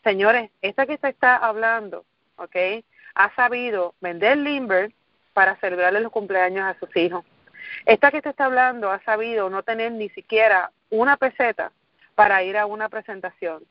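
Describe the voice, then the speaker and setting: A woman's voice: mid-range voice, normal speed, no echo, lo-fi sound. A middle-aged woman from Puerto Rico with a Puerto Rican accent, giving an earnest motivational talk and repeating phrases for emphasis. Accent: Puerto Rican Spanish. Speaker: motivational speaker. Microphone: recorded call or conference line.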